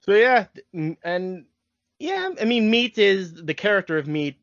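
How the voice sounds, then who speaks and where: A man's voice: high voice, animated, a little slow, fairly bright tone, no echo. A man hosting a podcast in casual, fannish conversation. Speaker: podcast host. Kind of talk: casual fan podcast chat. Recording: dry, dull lo-fi recording.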